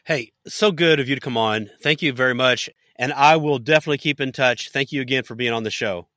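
A man's voice: medium pitch, a little fast, bright, forceful tone, no room sound, studio quality. A man hosting a podcast interview, folksy and fond of telling jokes. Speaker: podcast host.

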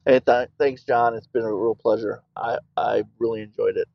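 A man's voice: lowish voice, fairly even intonation, moderate pace, gravelly, lo-fi sound. A middle-aged man, an army veteran guest on a podcast, talking in a plainspoken way and telling stories. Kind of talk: conversational interview.

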